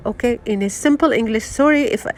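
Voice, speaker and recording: A middle-aged woman from Syria with a Syrian Arabic accent, giving an unscripted motivational talk, earnest and halting, full of fillers and restarts. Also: fairly high pitch. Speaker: wellness vlogger.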